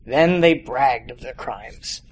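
A man's voice: mid-range voice, slow pace, husky. A young man reading a detective short story aloud as scripted narration. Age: young adult.

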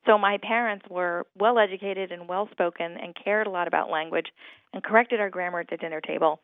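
A woman's voice: lowish voice, steady tone, fairly quick, dry recording. A woman from Hawaii, a writer and radio guest, talking in an articulate, thoughtful way with a few repeated starts, heard over a phone line. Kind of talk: reflective radio conversation.

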